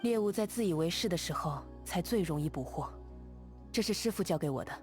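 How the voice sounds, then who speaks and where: Game heroine, calm young swordswoman, voiced by a woman; measured, quietly confident, lowish voice. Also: faint music behind, close to an everyday voice. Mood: composed. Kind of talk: game character voice lines.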